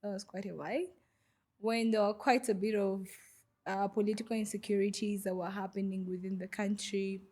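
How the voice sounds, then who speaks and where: A woman's voice: mid-range voice, fairly even intonation, fairly quick, darkish tone. A young woman from Goma in the DR Congo, a podcast guest, who tells her story in a hesitant way with many fillers. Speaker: guest, refugee vendor. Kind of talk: podcast interview.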